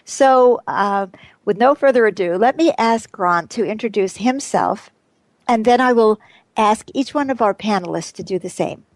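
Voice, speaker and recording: Woman radio host, bubbly and emphatic, mid-pitched, close dry studio sound. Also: normal speed, no room sound. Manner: bubbly, laughs often, emphatic. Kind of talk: hosting a radio panel discussion.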